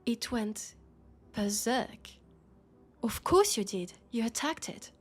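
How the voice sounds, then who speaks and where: A woman with a French accent playing a worried, exasperated female police colleague and roommate in an intimate sci-fi audio roleplay, speaking softly and hesitantly. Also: on the high side, lively intonation, slow, slightly rough, exaggerated voice. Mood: exasperated, disbelieving.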